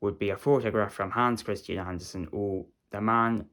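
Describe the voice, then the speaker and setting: A man's voice: fairly low pitch, steady tone, moderate pace, a bit bright. A man playing a pompous, fussy literary celebrity in a comic stage monologue, sounding dismissive. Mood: sneering, dismissive. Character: pompous, fussy, sneering male literary celebrity reading fan mail.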